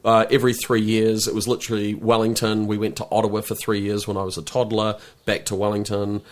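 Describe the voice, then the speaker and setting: A middle-aged man with a New Zealand accent and a fairly low voice chats as a podcast guest. He talks in bursts, stopping and restarting his phrases with plenty of fillers. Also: flat delivery, fast talker. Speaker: guest, film director. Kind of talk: casual podcast interview.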